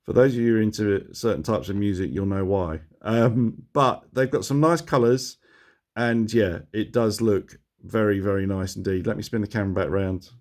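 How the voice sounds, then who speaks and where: A man's voice: lowish voice, normal speed, a little mellow. A middle-aged man with a sore throat, chatting casually to his phone camera about motorcycles, rambling and chuckling at his own asides. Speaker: motorcycle vlogger.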